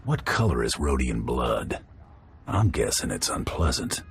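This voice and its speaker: An actor playing a curt, deadpan bounty hunter who trades threats with criminals in a game cutscene. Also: male voice, very deep voice, measured pace, slightly rough, full character voice. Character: gruff male bounty hunter, dry and threatening. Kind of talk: video game cutscene acting.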